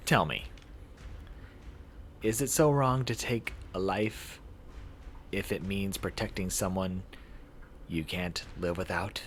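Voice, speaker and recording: A man voicing a cocky, bitter villain in a visual novel, goading an opponent with drawn-out questions. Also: sits low, a bit bright. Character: taunting, bitter battlefield villain, cocky and menacing. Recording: clear background noise.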